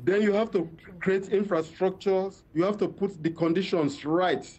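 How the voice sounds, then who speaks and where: A man's voice: sits high, fairly even intonation, moderate pace, darkish tone, lo-fi sound. A man with a Nigerian accent, a journalist explaining in a live news interview, often repeating words as he searches for phrasing. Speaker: journalist reporting by phone. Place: remote phone call.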